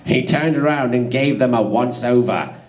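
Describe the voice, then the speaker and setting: A man's voice: mid-range voice, moderate pace. A man narrating comic fan fiction in a theatrical, giggly way, prone to breaking up and tossing in asides.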